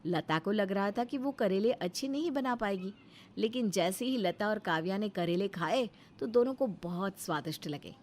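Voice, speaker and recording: A woman's voice: medium pitch, animated, moderate pace, slight background noise. A woman narrating a scripted moral story as storyteller.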